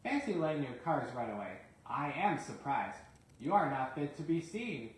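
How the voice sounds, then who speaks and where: A commanding railway boss from a children's storybook, as a man acts him out. He speaks firmly and loudly, with stern authority. The sound is heavily echoed. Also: on the high side, big pitch swings, moderate pace. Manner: scolding, authoritative.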